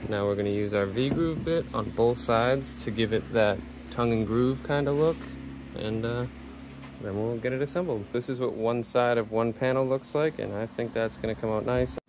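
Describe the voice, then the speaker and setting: A man casually talking through a carpentry build as he works, chatty and relaxed. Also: medium pitch, normal speed, noisy background. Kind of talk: casual build vlog narration. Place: workshop and home interior.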